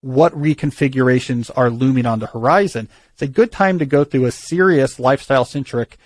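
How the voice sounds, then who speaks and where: A man's voice: mid-range voice, a little fast, no echo. A man hosting a call-in advice show, talking through ideas thoughtfully with frequent restarts. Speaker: podcast host giving career advice.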